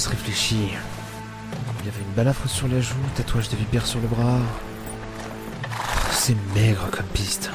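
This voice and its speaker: An actor playing a gruff, weary but principled adventurer in an audio drama, grumbling and muttering to himself. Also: male voice, medium pitch, lightly acted. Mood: pensive, determined. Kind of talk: audio drama acting with inner monologue.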